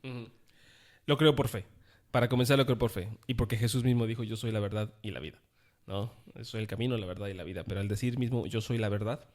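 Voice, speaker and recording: A man's voice: fairly low pitch, quick pace, a little mellow. A man with a Mexican Spanish accent, co-hosting a casual podcast chat among friends, joking and laughing often. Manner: jokey, laughs often, uses many fillers. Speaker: podcast co-host.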